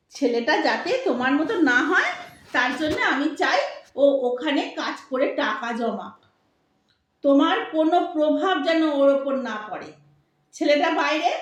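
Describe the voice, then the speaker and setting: A woman playing a sharp-tongued older Bengali wife and mother in an audio drama, scolding with sarcastic emphasis. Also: sits high. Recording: roomy, echoing sound.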